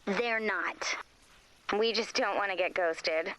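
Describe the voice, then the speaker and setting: An actress playing a sardonic, bossy young teammate in a video game, with a dry, snarky delivery. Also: female voice, mid-range voice, slow, sharp tone, poor audio. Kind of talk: video game character voice acting.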